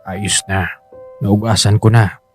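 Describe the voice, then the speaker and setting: A man's voice: fairly low pitch, level tone, slow. An actor playing a poor, easily rattled husband and father who frets about money, plain-spoken and grumbling, in a radio drama. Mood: conciliatory. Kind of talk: Filipino radio drama acting. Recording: music bed under the voice.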